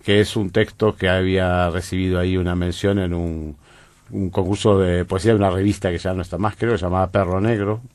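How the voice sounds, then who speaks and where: Uruguayan man, radio guest, low and dry, measured and reflective, soft-spoken studio talk. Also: flat delivery, no echo. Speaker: guest poet. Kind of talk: radio interview conversation.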